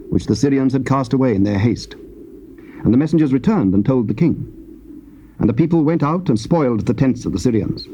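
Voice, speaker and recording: A man narrating scripture in a measured, solemn reading. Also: medium pitch, warm tone, muffled recording, slight background noise.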